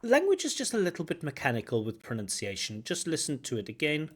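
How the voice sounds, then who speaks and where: A man from Wales, an English examiner, giving feedback on a speaking test and explaining points with examples and gentle corrections. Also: fairly high pitch, wildly swooping pitch, quick pace. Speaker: IELTS examiner and teacher. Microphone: video call.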